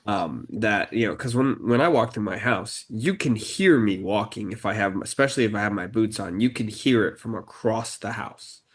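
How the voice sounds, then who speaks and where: Low-voiced man, slow and halting. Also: breathy edge. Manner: halting, many fillers and restarts, deliberately slowed speech. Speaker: podcast co-host. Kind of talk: casual conversational podcast talk.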